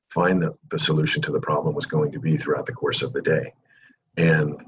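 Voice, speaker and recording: A middle-aged American man with an extremely deep, calming voice that barely rises or falls. He explains things at length in a measured, unhurried way. The recording sounds slightly dull, with a faint hiss behind it. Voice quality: calming low voice. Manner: calm, measured, long-winded explanations. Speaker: real estate agent, guest. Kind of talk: podcast interview answers.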